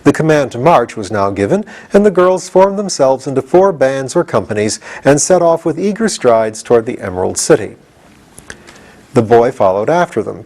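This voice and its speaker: A man's voice: medium pitch, darkish tone. A man reading a storybook aloud as scripted audiobook narration. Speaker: audiobook reader.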